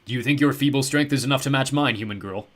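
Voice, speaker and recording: A man playing a booming, gloating ogre lord. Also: fast talker, lightly acted. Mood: menacing. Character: huge ancient ogre lord, boastful and cruel. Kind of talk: audiobook character voice.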